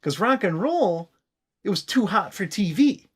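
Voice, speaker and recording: An American man co-hosting a comedy podcast, explaining history in casual, profane, animated chat. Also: sits high, unhurried, husky. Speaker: podcast co-host.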